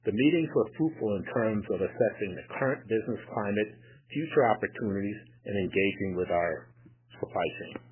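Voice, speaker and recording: A male company executive giving formal, measured prepared remarks on an earnings call. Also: on the low side. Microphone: telephone conference call. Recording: noisy, tinny phone conference line.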